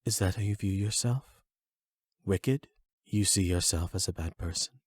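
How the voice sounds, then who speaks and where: A man voicing a calm, erudite therapist in an anime audio roleplay, speaking in a measured, formal, gently probing way. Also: sits low, slightly rough, no echo, clean studio sound. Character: calm, erudite male therapist and demi-human expert.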